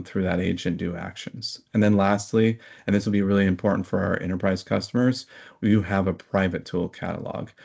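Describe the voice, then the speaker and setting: A man explaining technical material as an interview guest, in a measured, hesitant manner. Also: fairly low pitch, vocal fry, a little mellow, breathy edge, muffled recording.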